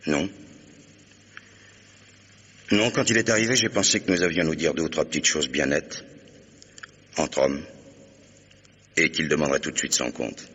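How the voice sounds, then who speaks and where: Stern devoted husband in old radio mystery, super deep husky voice, intense and forceful. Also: male voice, normal speed, pauses often, full character voice. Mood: indignant. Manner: earnest, commanding, formal address. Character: stern, devoted new husband, protective and determined. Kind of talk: French radio mystery play acting.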